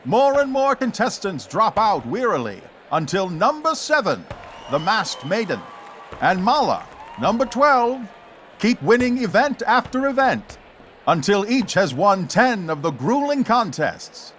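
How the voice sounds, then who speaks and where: A man's voice: sits high, sing-song, a little slow, a bit bright, slight background noise. A man narrating dramatically in the scripted style of a 1940s radio serial, theatrical and suspenseful.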